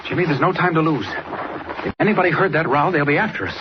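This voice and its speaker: An actor playing a mild, quick-thinking male newspaper reporter in 1940s radio serial style. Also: fairly high pitch, close to an everyday voice. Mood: urgent. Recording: muffled, noisy old radio recording.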